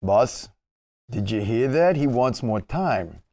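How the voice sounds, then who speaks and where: A man plays a mocking mob henchman at a comedy table read. His voice sits at an average male pitch. He sounds like a tough sidekick needling his victim. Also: animated, takes it slowly, darkish tone. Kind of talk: table reading of a comedy script.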